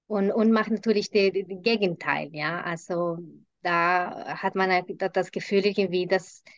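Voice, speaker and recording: A woman's voice: lowish voice, steady tone, moderate pace, no room sound. A woman whose first language is Spanish sharing her thoughts hesitantly in a group, with many fillers and restarts. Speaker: participant. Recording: dry muffled lo-fi audio.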